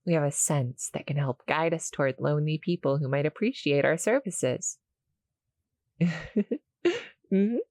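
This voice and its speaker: A woman voicing a small, shy, friendly cuddle-monster girl in an audio roleplay, halting and apologetic as she speaks to the listener. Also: low voice, no echo, studio quality. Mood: friendly, reassuring. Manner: nervous, halting, stammering, soft-spoken explaining.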